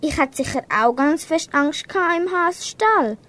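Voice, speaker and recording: A sympathetic little farm animal acted by a young girl in a school radio play. It talks in a very high, squeaky voice and bleats between phrases. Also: animated, moderate pace, fairly bright tone. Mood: worried. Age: young teenager. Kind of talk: amateur radio play acting.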